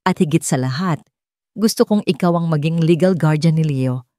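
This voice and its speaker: A woman plays a wealthy Filipino father in an audio drama. He speaks gently and earnestly, full of remorse. The voice is lowish and recorded very dry. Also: moderate pace, no echo, lightly acted.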